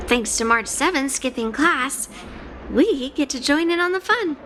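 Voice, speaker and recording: High-voiced, unhurried young swordswoman. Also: expressive, fairly bright tone, slight background noise. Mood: curious, casual. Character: blunt young sword-loving girl swordmaster. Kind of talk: scripted game dialogue acting.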